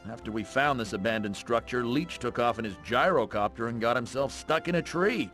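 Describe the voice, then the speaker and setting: An actor playing a precise, fretful academic in a video game, with a formal, fussy manner. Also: male voice, medium pitch, brisk, fairly bright tone, soft background music, natural voice. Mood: glum, worried. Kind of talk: animated video game voice acting.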